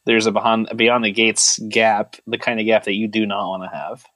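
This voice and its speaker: A man's voice: on the low side, little pitch movement, normal speed, a bit bright, dry recording. A man co-hosting a podcast in casual chat, rambling and joking with a mock-serious manner. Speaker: podcast co-host.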